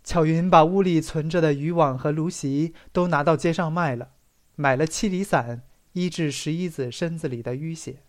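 Expressive audiobook narrator. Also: medium pitch, normal speed. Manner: expressive storytelling, stressing dramatic phrases. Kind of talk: scripted literary narration.

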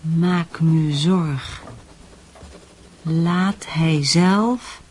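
Slow, low, halting woman in radio drama. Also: a little mellow, slightly rough, exaggerated voice. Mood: hushed, urging. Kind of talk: radio play acting. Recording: noisy old radio play recording.

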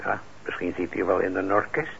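An actor in a radio play as a kindly, trusting middle-aged shopkeeper, speaking gently and haltingly with patient encouragement. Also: male voice, low voice, little pitch movement, creaky, exaggerated voice. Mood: encouraging. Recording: dull, noisy old radio recording.

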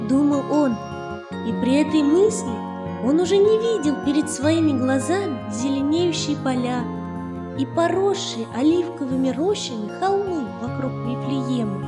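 A woman narrating a children's tale in a measured, expressive literary reading. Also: high voice. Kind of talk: scripted storytelling for children. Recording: roomy reverb, faint music behind.

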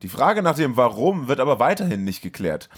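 A man's voice: mid-range voice, big pitch swings, brisk, husky. A man hosting a podcast, reading a scripted comic film recap in a wry, mocking manner. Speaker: podcast host.

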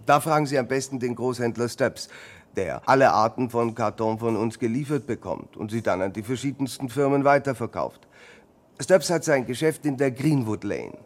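A man's voice: medium pitch, expressive, normal speed, close to an everyday voice. Matter-of-fact factory manager, played by a man. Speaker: actor in a radio play. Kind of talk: German radio crime drama acting.

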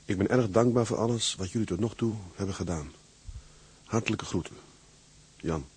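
An actor playing an earnest, weary sea captain in a radio drama, speaking in a sober, measured, plain way. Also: male voice, fairly low pitch, darkish tone, breathy edge. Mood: grave, resigned. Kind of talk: Dutch radio drama acting.

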